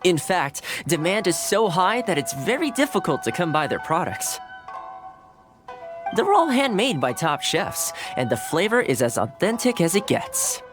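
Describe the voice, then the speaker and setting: An actor voicing a courteous, easygoing young male retainer in game dialogue, polite and gently humorous. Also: high voice, sing-song, measured pace, over music. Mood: friendly.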